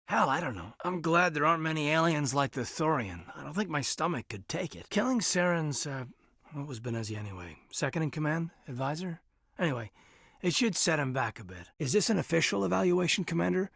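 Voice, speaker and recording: A man playing an earnest, dutiful military officer in a video game, speaking in a husky, measured, sincere way. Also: fairly high pitch, lively intonation, a bit bright, no room sound, studio quality, heavily acted. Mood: thoughtful. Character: earnest, dutiful male military officer, reserved and quietly romantic. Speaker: soldier. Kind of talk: video game character dialogue.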